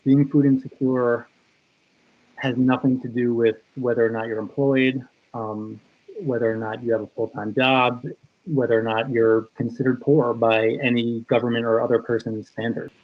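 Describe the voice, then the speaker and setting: A high school teacher originally from Brooklyn, explaining things in a clear, plain way. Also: male voice, little pitch movement, moderate pace, phone-quality sound. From Brooklyn, New York.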